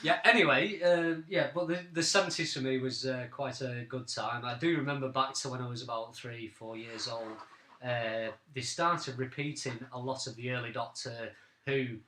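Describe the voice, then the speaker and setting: A man's voice: mid-range voice, moderate pace, bright, forceful tone. A man of about forty co-hosting a podcast, reminiscing in casual conversation. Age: late 30s to early 40s. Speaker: podcast co-host. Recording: roomy, echoing amateur recording.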